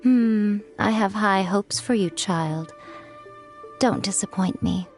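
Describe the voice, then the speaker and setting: A mysterious, poised shrine lady from a video game, drawing out her words very slowly and deliberately. She sounds sly and enigmatic. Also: mid-range voice, darkish tone, soft background music. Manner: slow, measured, enigmatic. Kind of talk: video game cutscene dialogue.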